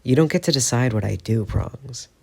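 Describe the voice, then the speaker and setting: A man's voice: medium pitch, animated, normal speed, vocal fry, warm tone. A man voicing a defiant, guilt-ridden teenage boy who talks defensively and stammers when upset.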